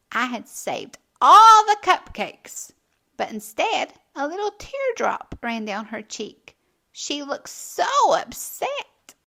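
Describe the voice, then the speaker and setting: A woman hosting a children's storytime, reading aloud expressively with theatrical, deliberate phrasing. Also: high voice, sharp tone. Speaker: children's storytime host and narrator.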